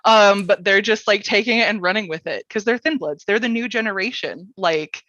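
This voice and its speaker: A woman's voice: mid-range voice, moderate pace, a bit bright, no room sound. A woman hosting a casual storytelling workshop, playful and enthusiastic, on a close but dull-sounding microphone. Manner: playful, joking, enthusiastic about the material. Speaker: workshop host and presenter.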